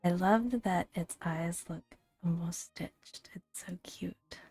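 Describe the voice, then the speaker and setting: A woman speaking softly in a breathy near-whisper, gently and unhurriedly, in an ASMR style with pauses between phrases as she reads game entries aloud. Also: fairly low pitch, faint music behind. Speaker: gaming video host. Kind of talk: soft-spoken ASMR, reading game entries aloud with casual asides.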